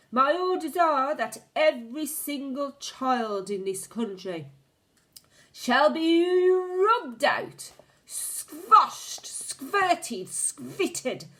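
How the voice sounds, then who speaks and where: A woman reading aloud gives voice to a screeching old witch queen, pitched far above a normal speaking voice and hammering out each word slowly and loudly. She rants and shrieks at her followers with savage contempt, swooping widely through her range. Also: heavily acted. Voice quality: shrieking, screaming. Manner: slow, drawn-out, ranting commands. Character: shrieking, furious old female witch leader. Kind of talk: storybook reading.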